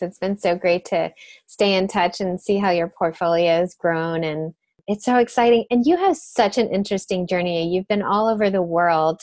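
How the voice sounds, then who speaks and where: A woman's voice: mid-range voice, a little mellow, no echo, clean studio sound. A woman business coach interviewing a guest in a gushing, complimentary way. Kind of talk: interview chat.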